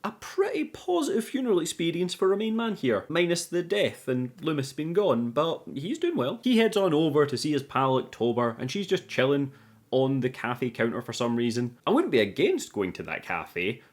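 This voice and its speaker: A man's voice: on the high side, very animated, moderate pace. A man giving a casual, jokey recap commentary as host of a film review show, with informal, sweary phrasing.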